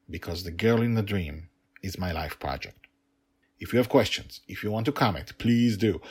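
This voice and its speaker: A man's voice: sits low, husky. A middle-aged man, an author and podcast narrator, talking informally and explaining things to his listeners in a casual, rambling way. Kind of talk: casual behind-the-scenes talk to listeners.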